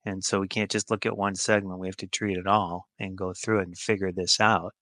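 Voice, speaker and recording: American guy podcast guest, kinda low but forceful voice, really dry close sound, folksy plain talk. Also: flat delivery, brisk, no room sound. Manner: informal, plain-spoken, emphatic. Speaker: podcast guest, healthcare executive. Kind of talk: conversational interview answers.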